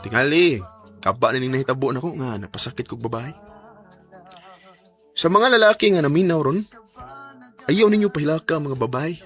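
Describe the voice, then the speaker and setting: An actor playing a remorseful, unfaithful young boyfriend in a Bisaya radio drama, with a Cebuano Filipino accent and a stammering, dramatic delivery. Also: male voice, fairly high pitch, animated, normal speed, long pauses, fairly bright tone, soft background music. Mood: regretful.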